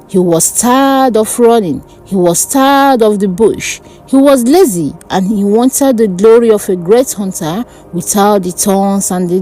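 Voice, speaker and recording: A woman narrating a dark fantasy folk tale in a dramatic, deliberate way. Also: medium pitch, poor audio. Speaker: storyteller. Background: background music.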